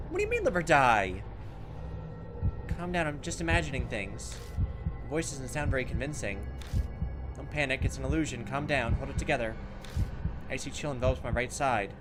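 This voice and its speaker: A man doing casual gameplay commentary, thinking aloud in an informal, self-deprecating way and reading game text out loud. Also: fairly high pitch, animated, moderate pace, pauses often, music in the background. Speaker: gaming video host.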